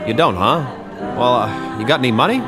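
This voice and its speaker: A man's voice: medium pitch, lively intonation, a little fast, lightly acted. An actor playing an earnest, bewildered and agitated everyman who stammers and repeats himself in a radio play. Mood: wary, placating. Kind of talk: old-time radio drama acting. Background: music.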